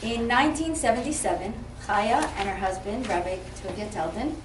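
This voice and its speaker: A woman's voice: medium pitch, moderate pace. Woman emcee reading an intro, far away in an echoey room with background hiss. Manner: formal, reading from a script. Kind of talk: read introduction. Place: event hall. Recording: echoey hall from far off, some noise.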